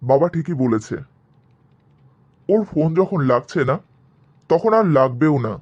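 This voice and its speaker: A man playing a lovesick, quietly determined young son in a cartoon family drama, earnest and subdued. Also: on the high side, animated, measured pace, lo-fi sound. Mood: earnest, troubled.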